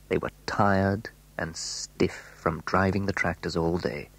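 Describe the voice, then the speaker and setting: A man narrating a children's audio story in an expressive, suspenseful way. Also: low voice, husky, heavily acted. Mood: dramatic.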